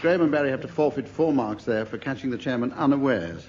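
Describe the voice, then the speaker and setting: A man's voice: mid-range voice, fast talker. A man chairing a comedy panel game, speaking as a broadcast host. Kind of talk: broadcast panel show hosting. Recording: lo-fi radio recording, noisy live show.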